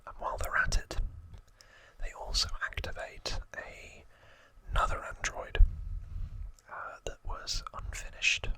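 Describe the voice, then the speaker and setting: A man hosting an ASMR video, whispering trivia in a breathy, unvoiced, soft and informal way. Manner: soft, unhurried, informal retelling.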